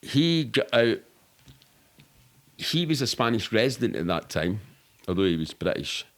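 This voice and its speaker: A man's voice: on the low side, very animated, unhurried. A middle-aged man with a Glaswegian Scottish accent, telling anecdotes casually in a candid, rambling, sweary way. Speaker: podcast guest.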